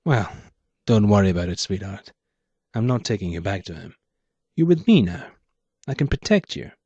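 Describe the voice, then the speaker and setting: Guy reading a wry hardboiled noir guy, laconic, lowish voice, slightly dull audio. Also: very animated, normal speed, dark tone, breathy edge, no echo, exaggerated voice. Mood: reassuring. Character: hard-boiled male noir hero, smoking, wry.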